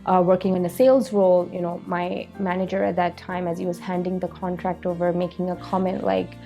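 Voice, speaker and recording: Woman, 30s, from Malaysia, founder in a documentary interview; mellow, gravelly voice, thoughtful and filler-heavy. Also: lowish voice, little pitch movement, brisk, dull audio, faint music behind. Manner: reflective, frequent "you know" fillers. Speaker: company founder.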